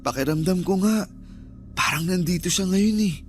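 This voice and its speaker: Man playing nervous, high-pitched Filipino guest. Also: moderate pace, faint music behind, lightly acted. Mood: uneasy. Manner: uneasy, questioning. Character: nervous male guest, easily spooked by ghosts. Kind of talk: Filipino radio drama acting.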